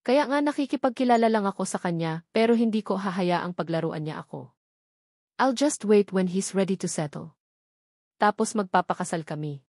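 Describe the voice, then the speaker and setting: A woman with a Filipino accent voicing a young female romantic rival in a novel, outwardly concerned and quietly condescending, mixing Tagalog and English. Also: mid-range voice, normal speed, dry recording, clean studio sound, natural voice. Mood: falsely concerned. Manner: code-switches between Tagalog and English.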